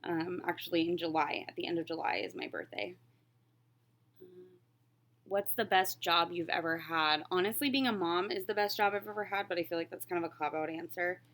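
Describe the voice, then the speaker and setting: A woman in her late twenties with a slightly low voice, talking in a casual vlog style. She rambles through answers in a candid, reflective way, like someone chatting while working on a craft. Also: brisk, fairly bright tone. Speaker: crafting vlogger. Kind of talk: casual chat, answering questions while stitching.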